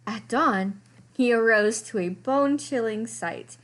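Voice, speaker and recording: A woman reading a children's story aloud in an animated, expressive way, with dramatic emphasis on descriptive words. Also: on the high side, a little slow. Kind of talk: expressive picture-book read-aloud.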